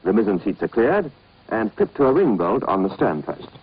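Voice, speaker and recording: Male British narrator for an instructional film, lowish pitch, animated clipped scripted read, muffled vintage sound. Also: moderate pace, slightly rough. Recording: dull, band-limited old film soundtrack.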